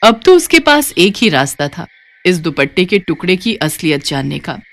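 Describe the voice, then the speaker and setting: Expressive woman narrating a moral tale. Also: lowish voice, moderate pace. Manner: expressive storytelling. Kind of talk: scripted storybook narration.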